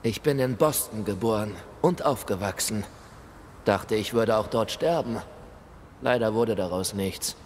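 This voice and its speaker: An actor playing the embittered, polite ghost of a colonial shop clerk, with formal, theatrical delivery in a video game. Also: male voice, lowish voice, measured pace, darkish tone, lightly acted. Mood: wistful. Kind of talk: video game dialogue acting. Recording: hall reverb, noisy ambience.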